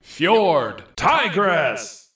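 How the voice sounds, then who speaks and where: A hyped arena announcer for a fighting video game. He is theatrical and emphatic, swinging widely in pitch and stretching out each short call very slowly, with a roomy echo around him. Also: very animated, a bit bright, far from the mic, exaggerated voice. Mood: bombastic, hyped. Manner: theatrical, drawn-out, emphatic delivery.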